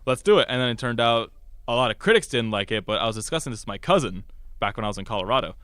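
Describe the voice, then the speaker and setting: Young man, casual co-host chat, rapid delivery, dry room. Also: mid-range voice, expressive, fairly bright tone, smooth, dry recording. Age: young adult. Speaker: podcast co-host. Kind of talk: casual chat about games and movies. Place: college radio studio.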